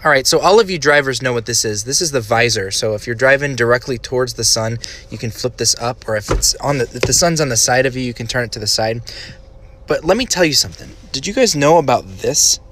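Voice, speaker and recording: A man's voice: medium pitch, expressive, fast talker, fairly bright tone. A man explaining a car tip in a casual video.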